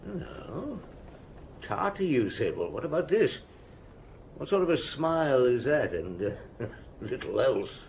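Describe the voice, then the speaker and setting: An actor playing a shrewd, world-weary male chief inspector in a detective audio drama, measured and probing. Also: medium pitch, very animated, darkish tone, a little hiss or hum. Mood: wry, curious. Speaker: lead actor and narrator.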